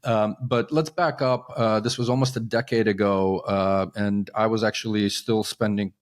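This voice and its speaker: Middle-aged man explaining in a relaxed way. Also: lowish voice, moderate pace. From Ukraine, raised in New York and New Jersey. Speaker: guest, company co-founder. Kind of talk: conversational interview.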